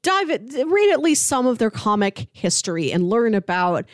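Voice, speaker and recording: A woman's voice: on the high side, big pitch swings, normal speed, no room sound, studio quality. A woman co-hosting a podcast, talking casually and reading out short blurbs. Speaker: podcast co-host.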